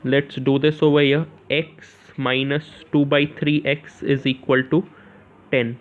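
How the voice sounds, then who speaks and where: A man's voice: mid-range voice, flat delivery, unhurried, a little hiss or hum. A young man with an Indian accent gives an informal step-by-step maths lesson, asking questions and answering them himself, often repeating phrases and checking in with short confirmations. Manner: repeats phrases, punctuates with "yes" and "okay". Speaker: maths tutor. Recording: narrow, tinny phone-like sound.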